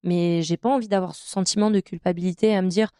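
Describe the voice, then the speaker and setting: Mellow-voiced French handball player. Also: female voice, on the low side, fairly even intonation, quick pace, smooth, no echo, clean studio sound. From France. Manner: hesitant, many fillers, warm enthusiasm about her career. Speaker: professional handball player, guest. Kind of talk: podcast interview, candid storytelling.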